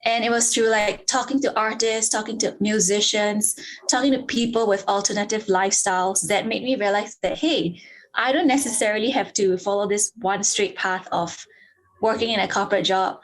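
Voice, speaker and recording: A woman's voice: medium pitch, low-quality recording. Eager young woman guest. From Singapore. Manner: fluent, eager storytelling. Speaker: guest, travel blogger. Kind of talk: interview storytelling. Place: remote call.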